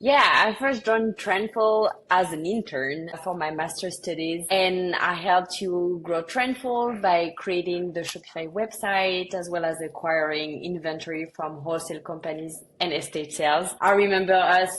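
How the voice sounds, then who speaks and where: Low-voiced chatty woman co-host. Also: moderate pace, few pauses, a little hiss or hum, rough recording. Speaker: co-founder and podcast co-host. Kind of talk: casual chat.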